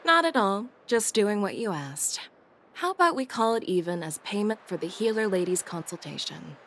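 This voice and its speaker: A woman's voice: mid-range voice, lively intonation, moderate pace, fairly bright tone, a little hiss or hum. A teasing, self-assured woman general. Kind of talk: video game voice acting. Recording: game cutscene with music behind.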